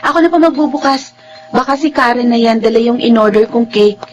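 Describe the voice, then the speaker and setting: A woman's voice: a little high, brisk, highs cut off, a little hiss or hum, close to an everyday voice. A woman acting an earnest, principled young student and devoted daughter in a Filipino radio drama. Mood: hopeful, respectful. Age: young adult.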